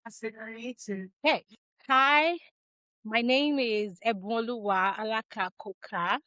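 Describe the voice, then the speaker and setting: A woman in her thirties from Nigeria, a podcast guest talking in a measured, reflective way with frequent ums, over a video call. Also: on the high side, sharp tone, dry recording. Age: 30s. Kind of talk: casual interview chat.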